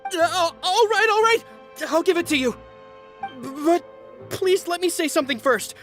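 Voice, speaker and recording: A woman's voice: very high voice, animated, measured pace, soft background music. A woman playing a nervous, evasive customer in a video game, flustered, stammering and pleading. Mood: panicked, pleading. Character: nervous, evasive female customer caught with contraband. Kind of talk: video game character dialogue.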